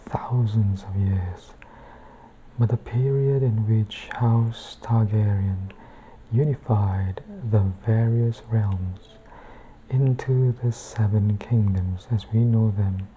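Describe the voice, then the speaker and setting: A woman reading a bedtime book in ASMR style, hushed and measured, with a soft, breathy voice that often slips into a whisper. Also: extremely low pitch, lo-fi sound, slight background noise.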